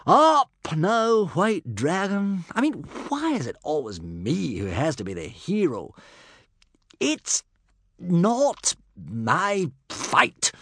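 Grumbling ageing warrior hero, done by a male reader, fantasy storybook, animated pitch, close dry sound. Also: slow pace, no room sound, full character voice. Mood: aggrieved, grumbling. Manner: grumbling, pleading, rhetorical questions. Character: reluctant, exasperated ageing hero, middle-aged warrior man. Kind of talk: audiobook character voice in children's fantasy adventure.

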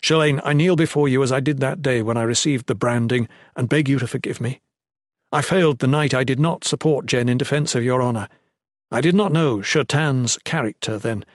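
A man's voice: mid-range voice, brisk, dry recording, clean studio sound, close to an everyday voice. A man voicing a distressed, contrite young male protector who pleads in formal, courtly wording for a storybook. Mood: anguished pleading.

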